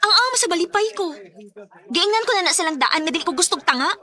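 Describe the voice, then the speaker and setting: An actress with a Cebuano Filipino accent playing a bossy, sharp-tongued young businesswoman in a radio drama. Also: very high-pitched, expressive, quick pace, exaggerated voice. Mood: furious, exasperated.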